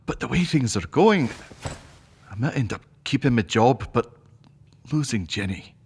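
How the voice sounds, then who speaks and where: A man's voice: mid-range voice, expressive, a little slow, a little mellow, slightly rough. A man playing a plain-spoken, loyal household employee in a sci-fi audio drama, informal and down-to-earth in manner. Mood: troubled.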